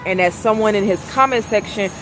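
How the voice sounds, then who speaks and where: A woman vlogger with a velvety, raspy voice, speaking softly in a rambling monologue outdoors on a city street. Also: mid-range voice, a little fast, over music, low-quality recording. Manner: soft-spoken, rambling, trying to talk louder. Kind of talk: casual monologue.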